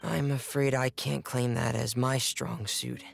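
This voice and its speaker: A man playing a placid, modest angler in a game, with an unhurried, low-key manner. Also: level tone, vocal fry, soft background music. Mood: calm, modest. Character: placid, steady, modest adult who likes fishing. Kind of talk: game voice acting.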